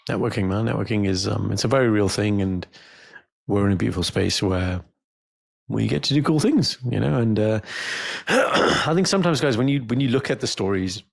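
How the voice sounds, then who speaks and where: A man with a gravelly, fry-edged voice chats loosely on a podcast. He tells stories animatedly and jokes around. The sound is dry and close, with no room around it. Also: lowish voice, normal speed, no room sound. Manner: animated, jokey storyteller. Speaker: podcast co-host. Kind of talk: casual podcast chat.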